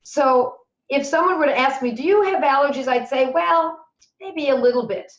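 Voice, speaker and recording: A middle-aged woman teaching homeopathy, answering questions in a chatty, animated way and acting out people's worries, her voice a little hoarse at times. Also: sits high, moderate pace. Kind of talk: webinar Q&A answers. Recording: echoey, dull lo-fi webinar audio.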